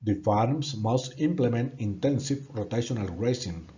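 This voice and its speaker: Slow, earnest Colombian-accented man. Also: mid-range voice, expressive, warm tone, dull audio. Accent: Colombian Spanish. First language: Spanish. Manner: earnest, halting, repeats words when stuck. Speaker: student presenting a course project. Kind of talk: prepared presentation narration.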